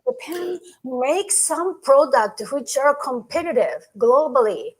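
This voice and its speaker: A woman's voice: sits high, lively intonation, slow, low-quality recording. A woman on a discussion panel who speaks tentatively, searching for the right words.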